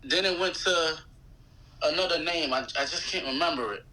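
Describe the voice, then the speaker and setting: A man in his thirties with an American accent and a very bright, sharp voice, pitched a little high. He chats casually as a guest on a show. His talk is loose and slangy. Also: moderate pace, poor audio. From United States. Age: 30s. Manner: slangy, conversational. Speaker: guest, rapper. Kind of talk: casual interview chat.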